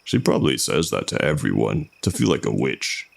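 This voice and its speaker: Laid-back young joker in a horror story, acted by a man, dry low mutter with fry. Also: very animated, brisk, heavily acted. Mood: offhand.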